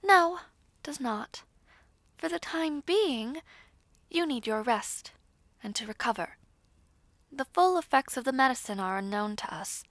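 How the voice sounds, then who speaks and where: A woman voicing a composed, proud young princess in a fantasy audio roleplay, candid, stately and deliberate in her formal speech. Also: high voice, animated, a bit bright, no room sound. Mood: calm, firm.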